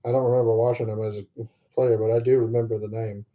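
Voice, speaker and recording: A man's voice: mid-range voice, flat delivery, quick pace. A man chatting casually as a livestream co-host while going through a pack of cards. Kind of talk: casual chat while opening sports cards. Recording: dull lo-fi livestream audio.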